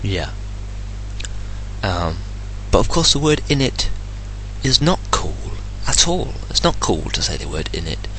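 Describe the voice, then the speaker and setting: English man, vlogger, lowish voice, bright and edgy tone, blunt indignant rant, faint hum behind. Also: big pitch swings, a little slow, low-quality recording. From England. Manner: indignant, blunt, swears freely. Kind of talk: ranting solo vlog.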